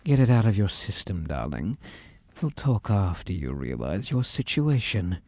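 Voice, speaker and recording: Casting: male actor as controlling demon-possessed boyfriend for audio roleplay; low, dark mellow voice, menacingly tender and condescending. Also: sing-song, dry recording, full character voice. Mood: smug, domineering. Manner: slow, teasing, coaxing endearments, condescending. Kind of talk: scripted roleplay audio drama.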